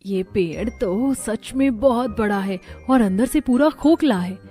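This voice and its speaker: A woman's voice: on the high side, animated, measured pace, mellow, soft background music. Woman as an earnest, humble young daughter-in-law. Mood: wondering. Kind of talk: Hindi cartoon story character.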